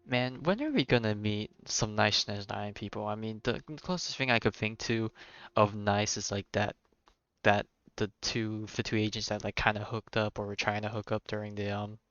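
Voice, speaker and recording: A man's voice: fairly low pitch, moderate pace, fairly bright tone, clear, resonant tone, no echo, highs cut off. A man giving casual gameplay commentary as a streamer, informal and slangy. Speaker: game streamer.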